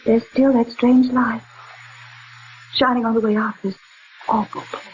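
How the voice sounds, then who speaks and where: An actress playing a genteel, easily frightened woman in a theatrical, halting 1940s radio drama style. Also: on the high side, flat delivery, darkish tone, soft background music, natural voice. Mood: frightened, awed. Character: frightened, genteel woman recounting ghostly canyon ordeal. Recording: muffled, noisy 1940s radio recording.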